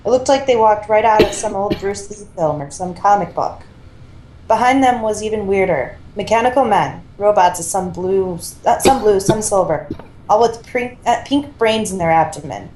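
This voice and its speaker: A woman narrates a story, reading aloud in an unpolished amateur way. Her pitch is in the middle range. The room adds a clear echo. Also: a little mellow, a little hiss or hum. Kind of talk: reading fan fiction aloud, narrating and voicing dialogue. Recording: roomy, echoing home recording.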